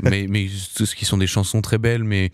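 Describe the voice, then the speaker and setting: Young man, very low voice, halting answers. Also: little pitch movement, smooth, no room sound, clean studio sound. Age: young adult. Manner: hesitant, many restarts and fillers. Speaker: singer, interview guest. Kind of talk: casual radio interview answers.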